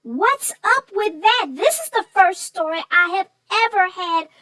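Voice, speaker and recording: A woman voicing a curious, sassy child sidekick who reacts to a story with drawn-out phrases. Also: very high voice, lively intonation, pushed and bright, dry recording, voice pushed up, exaggerated voice. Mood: sassy, surprised.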